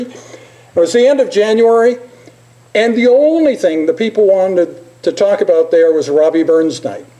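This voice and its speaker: Guy doctor giving a casual talk, high voice, kinda soft dark tone, halting and wandering thru stories. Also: low-quality recording. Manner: halting, digressive storytelling. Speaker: physician giving a presentation. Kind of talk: informal anecdotal talk.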